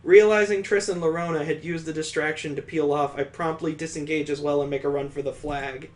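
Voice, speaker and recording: Higher-voiced man reading a visual novel aloud. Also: fairly even intonation, brisk. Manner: dramatic narration broken by amused, incredulous commentary. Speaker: gaming channel host doing a let's play.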